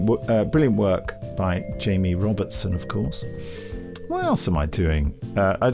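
Low-pitched rambling male podcast host over music. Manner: jokey, digressive. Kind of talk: casual rambling chat.

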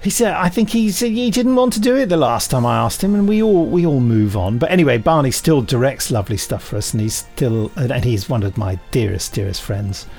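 Casual, creaky-voiced male podcast host. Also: medium pitch, expressive, brisk, hardly any pauses, a little mellow, soft background music. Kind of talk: casual chat.

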